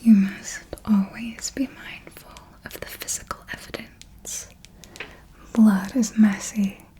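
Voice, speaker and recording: A woman's voice: mid-range voice, level tone, slow pace, dark tone, close to an everyday voice. A woman voicing an ancient, serenely ruthless and darkly tender female vampire mentor in an ASMR roleplay, speaking formally and coaxingly in a whisper. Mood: patient, instructive.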